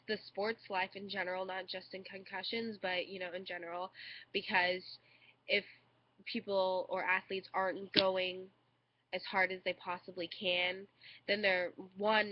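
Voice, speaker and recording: A young woman with a congested cold, giving a hesitant student speech into a webcam with many fillers. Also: on the low side. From United States. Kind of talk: informal recorded speech. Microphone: webcam microphone. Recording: dull lo-fi webcam audio.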